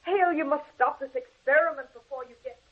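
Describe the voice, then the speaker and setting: A woman's voice: sits high, a little fast, close to an everyday voice. A woman playing an anxious, pleading scientist's wife in earnest, melodramatic 1940s radio style. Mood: pleading alarm. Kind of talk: 1940s radio drama acting. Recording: dull, lo-fi 1940s radio broadcast.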